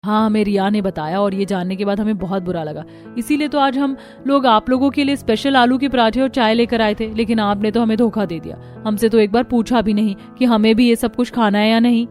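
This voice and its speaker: A woman's voice: fairly high pitch, steady tone, brisk, darkish tone, faint music behind, natural voice. A woman voicing a caring but indignant young wife in a cartoon, earnest and reproachful.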